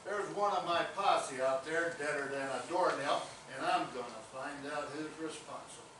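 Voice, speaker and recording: A man's voice: a little high, normal speed, close to an everyday voice. Man acting a folksy cowboy lawman, far off, echoey. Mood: probing. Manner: drawling Old West slang, folksy. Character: folksy cowboy lawman investigating murders, teasing and stubborn. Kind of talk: staged reading of a comic murder mystery play. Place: theatre stage, recorded from afar. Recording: distant, very echoey, noisy theatre recording.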